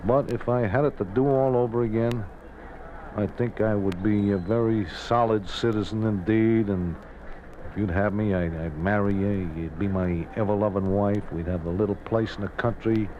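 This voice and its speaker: Actor playing a low-voiced, lovestruck American racetrack bookie. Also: male voice, fairly even intonation, moderate pace, natural voice. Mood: tender, resigned. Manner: plain-spoken, quaintly formal gambler's slang. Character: sincere, resigned racetrack bookie in love, Runyonesque talker. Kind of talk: 1950s radio drama acting. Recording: dull, noisy old radio recording.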